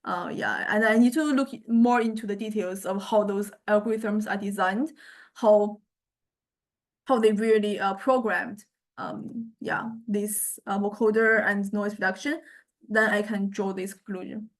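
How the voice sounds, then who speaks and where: A woman teaching music technology in an informal software demonstration, thinking aloud and explaining tentatively in a halting way. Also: medium pitch, fairly even intonation. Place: recorded software lesson.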